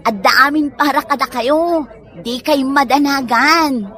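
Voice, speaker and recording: A woman plays a generous elder sister home from abroad in a comedy radio drama. She has a Filipino accent and a high, bright voice. Her delivery is brisk, chirpy and assertive.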